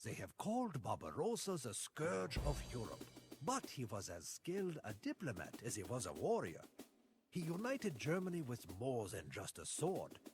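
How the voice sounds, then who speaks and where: A man playing a hard-drinking tavern storyteller in a game cutscene, relishing a grand tale with theatrical pauses. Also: medium pitch, wildly swooping pitch. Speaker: narrator. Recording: music under the voice.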